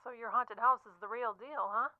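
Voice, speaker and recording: An actress playing a brisk, upbeat, pushy literary agent in an audio drama, chatting breezily and cajoling in slang through a telephone filter. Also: female voice, on the high side, steady tone, no echo. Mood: eager, teasing. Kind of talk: audio drama acting, chatty phone-call delivery. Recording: tinny, narrow phone-line sound.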